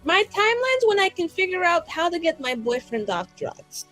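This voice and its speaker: A woman's voice: very high voice, big pitch swings, moderate pace, fairly bright tone. A woman in casual roleplay chat as a tabletop game player, with a flippant, rambling, slangy way of talking. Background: background music.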